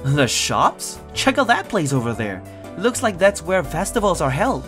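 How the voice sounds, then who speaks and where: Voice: medium pitch, very animated, measured pace, fairly bright tone, faint music behind. A performer playing an earnest, cautious young creature sidekick in an audio drama, speaking politely and chattily. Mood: pleased. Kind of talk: audio drama character acting.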